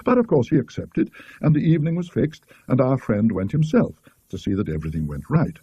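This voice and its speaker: A man's voice: medium pitch, very animated, fairly quick, warm tone, husky, no echo, muffled recording. A British man voicing an indignant, gossipy country lady in a storybook, emphatic and disapproving.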